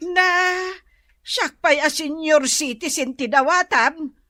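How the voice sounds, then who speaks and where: A woman's voice: high-pitched, slow, pushed and bright, no echo, full character voice. A woman acting an elderly mother in a radio drama, scolding and nagging. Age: older.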